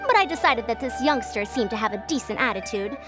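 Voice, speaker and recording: Woman as proud female academic elder, game character, high voice, animated intonation, formal, lecturing, self-assured. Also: fast talker, close to an everyday voice. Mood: haughty. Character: proud, girlish senior female scholar, confident, patronizing elder. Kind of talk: video game character acting. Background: music.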